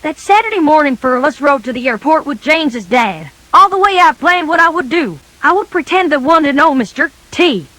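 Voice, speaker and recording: A woman reading a scripted children's story in a folksy, animated storybook manner. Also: high-pitched, fairly quick, few pauses, dry recording.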